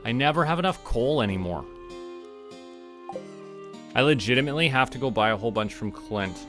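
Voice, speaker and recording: A man's voice: medium pitch, lively intonation, a little fast. A man chatting casually on a gaming livestream, thinking aloud as he plays. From Canada. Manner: musing, talking to himself. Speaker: streamer. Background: clear background music.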